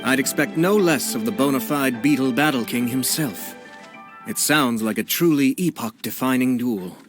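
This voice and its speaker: A man voicing a politely amused young nobleman with measured, genteel phrasing in an anime fantasy game. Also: medium pitch, soft background music, natural voice. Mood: amused, approving. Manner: measured, genteel, formal wording.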